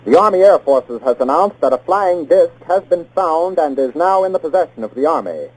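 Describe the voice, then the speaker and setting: A man's voice: fairly high pitch, expressive, fairly quick, a little mellow. An American man anchoring a scripted 1940s radio newscast in a formal, clipped announcer's delivery. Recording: muffled 1940s radio broadcast.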